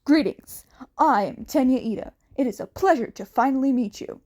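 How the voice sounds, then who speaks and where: A woman's voice: high voice, animated, normal speed, a little mellow. A woman voicing an earnest teenage boy with a formal, clipped and emphatic manner in a fan fiction audiobook.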